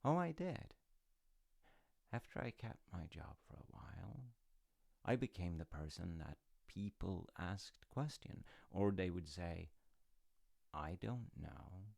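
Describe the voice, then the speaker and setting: Guy acting as a chill reflective dad in an audio roleplay, slow and rambly, creaky gravelly voice, reassuring. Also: very animated, exaggerated voice. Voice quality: deep, gravelly. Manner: unhurried, musing, gently reassuring. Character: reflective, encouraging, easygoing storytelling father.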